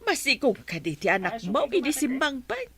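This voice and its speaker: A woman's voice: high-pitched, wildly swooping pitch, normal speed, fairly bright tone, heavily acted. A woman with an Ilocano accent playing a worried village mother who nags her husband in a radio drama. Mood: worried, gossiping.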